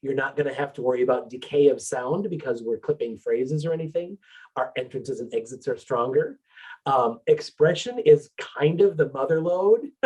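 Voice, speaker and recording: Husky man explaining singing technique. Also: medium pitch, low-quality recording. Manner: explanatory, relaxed with filler words. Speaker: vocal coach presenter. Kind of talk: educational talk on singing technique.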